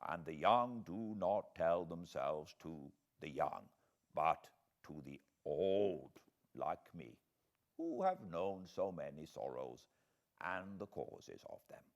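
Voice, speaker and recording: Slow, courtly old professor. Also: male voice, low voice, big pitch swings, voice pushed down, heavily acted. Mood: gentle, coaxing. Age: older. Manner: slow, deliberate, halting broken-English phrasing. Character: elderly courtly foreign professor, kindly, grave, wise. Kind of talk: audiobook character voice.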